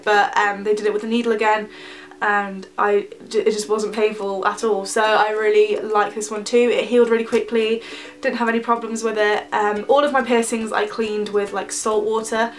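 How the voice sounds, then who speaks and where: A woman's voice: medium pitch, far from the mic. A young woman with a stuffy, nasal voice from a cold, chatting casually to camera as a vlogger, rambling with lots of ums. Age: young adult.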